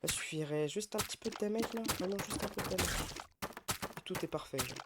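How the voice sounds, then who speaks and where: A man streaming a game, nursing a head cold as he talks it through in a loose, casual way. He thinks aloud, counting and weighing each choice. Loud noise sits under the whole recording. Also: high voice, lively intonation, a little fast. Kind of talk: casual gameplay commentary.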